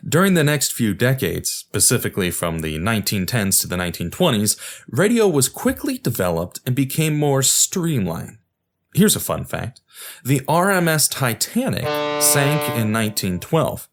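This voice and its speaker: A man's voice: mid-range voice, big pitch swings, normal speed. A man hosting a podcast, reading scripted educational narration in a conversational way with casual asides. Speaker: podcast host.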